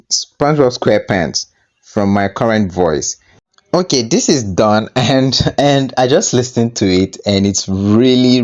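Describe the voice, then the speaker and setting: A man presenting a casual software tutorial, with somewhat halting, hesitant phrasing. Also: sits low, dull audio.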